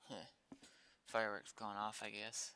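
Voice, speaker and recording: A man's voice: fairly low pitch, monotone, measured pace, sharp tone, smooth, dry recording. A man chatting casually on a livestream, muttering in an offhand, sweary way. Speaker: live streamer. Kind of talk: casual unscripted livestream chat.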